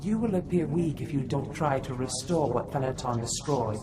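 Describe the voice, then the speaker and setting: An actor playing a scheming Sith mentor who gives counsel in a video game cutscene, measured and formal. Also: mid-range voice, lively intonation, over music, natural voice. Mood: calculating.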